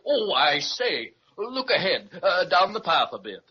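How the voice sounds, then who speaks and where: A man's voice: sits high, very animated, measured pace, slight background noise. An actor playing a pompous, genteel male adventurer in a 1930s radio serial, hesitant and full of hemming. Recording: dull lo-fi 1930s radio recording.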